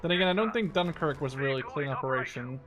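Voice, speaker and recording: A man's voice: medium pitch, animated, quick pace. A man in his twenties, a native Dutch speaker, giving casual gameplay commentary. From Canada-based, Dutch. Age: 20s. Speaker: gaming video creator. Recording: game gunfire behind the voice. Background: strategy game battle sounds and gunfire.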